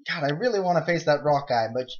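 Young guy rambling over his game, kinda high voice, jokey, yelps when stuff happens, dull lo-fi mic. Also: quick pace, a little hiss or hum. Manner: rambling, jokey, excitable outbursts. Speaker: let's play gamer. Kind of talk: casual game commentary.

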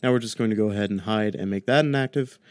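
Young guy doing a chatty how-to, lowish voice, dry close mic at home. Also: fast, no echo. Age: 20s. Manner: informal, chatty explaining. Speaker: audio tutorial host. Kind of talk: casual screen-recorded tutorial. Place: small home room, indoors. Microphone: close desk microphone on boom arm.

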